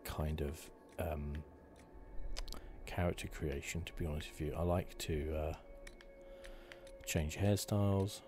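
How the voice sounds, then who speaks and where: A man giving casual ASMR commentary on a game playthrough in a hushed, rambling, musing manner. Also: a bass voice, slow, pauses often, soft background music. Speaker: gaming video host.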